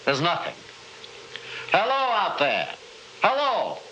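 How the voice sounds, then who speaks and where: Radio horror role: cold middle-aged scientist, theatrical and contemptuous, very animated pitch, noisy old recording. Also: male voice, takes it slowly, heavily acted. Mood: scornful. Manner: imperious, scornful, theatrical. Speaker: actor in radio drama. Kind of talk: 1960s radio horror acting.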